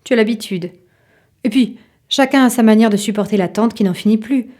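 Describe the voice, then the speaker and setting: A woman narrating a literary audio drama in an intimate, measured way, with a soft voice. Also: fairly high pitch, close to an everyday voice. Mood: restrained. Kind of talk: literary second-person narration in audio fiction.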